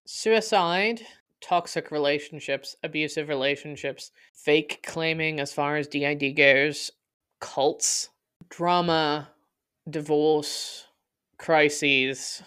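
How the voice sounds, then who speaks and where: Theatrical, sardonic narrator with a crisp, dry sound. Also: mid-range voice, a bit bright, no echo. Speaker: podcast host and narrator. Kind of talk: dramatic scripted storytelling, reading a blog.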